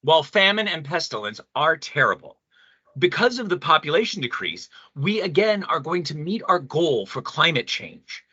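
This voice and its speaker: A male actor plays an earnest male pastor in an audio drama debate. His voice is bright and forceful, swinging widely as he preaches solemnly. The sound is close, dry and slightly muffled. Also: fairly high pitch, big pitch swings, moderate pace, slightly rough, no echo, exaggerated voice. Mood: earnest, reverent. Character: earnest, mystical male pastor and spiritual leader. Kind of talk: radio play debate acting.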